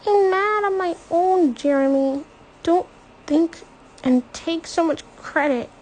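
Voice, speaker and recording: A woman voicing a teenage girl who is crying and furious in her grief, with shrill, sobbing outbursts. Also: slow, a little mellow, poor audio. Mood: upset.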